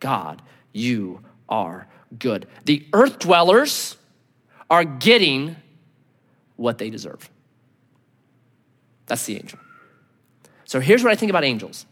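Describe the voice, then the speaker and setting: A man preaching a sermon as a pastor, earnest and emphatic, dropping to low, intense tones for weight. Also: huge pitch swings, slow, pauses often, fairly bright tone. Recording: long hall reverb.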